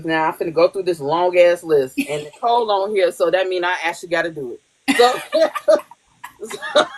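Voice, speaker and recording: A woman's voice: medium pitch, poor audio. A woman hosting a podcast in casual chat, blunt and profane, laughing often. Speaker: podcast host.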